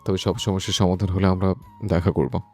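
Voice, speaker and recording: Casting: male actor as young romantic lead, audio drama; low, mellow dark tone, English words mixed in, over music. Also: flat delivery, brisk. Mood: pleading, persuasive. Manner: sprinkles English endearments into speech. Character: irritable young husband, tender lover to his girlfriend, scornful to wife. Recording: music under the voice.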